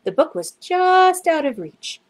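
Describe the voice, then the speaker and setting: A woman reading a children's storybook aloud in an expressive, dramatic storytelling manner. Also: very high-pitched, unhurried. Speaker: children's book reader. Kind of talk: storybook narration, read aloud.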